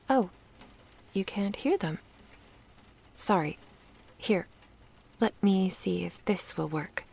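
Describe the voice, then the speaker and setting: A woman playing a lovestruck, lonely witch in an ASMR roleplay, soft-spoken, whispery and seductive in a tender, intimate way. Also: medium pitch, exaggerated voice. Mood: grateful, fond. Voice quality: breathy, whispery. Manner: slow, tender, intimate delivery.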